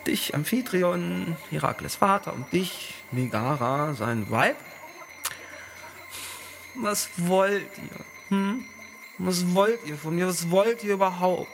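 Sneering tyrant, high-pitched, nagging whine, drawn-out stressed syllables, slow delivery, theatrical radio play, noisy home recording. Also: male voice, lively intonation, creaky, fairly bright tone, full character voice. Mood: sneering, haughty. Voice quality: whiny, nagging tone. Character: Lykos, whiny, sneering, taunting male tyrant. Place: home, online video call.

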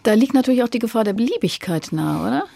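Woman, fairly high voice, radio interviewer, measured deliberate phrasing, thoughtful questions, very dry sound. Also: expressive, vocal fry, mellow, dry recording.

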